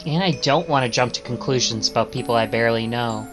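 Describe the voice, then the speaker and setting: A man's voice: medium pitch, fast talker, over music. A man streaming a visual novel, reading it aloud in English with dramatic narration.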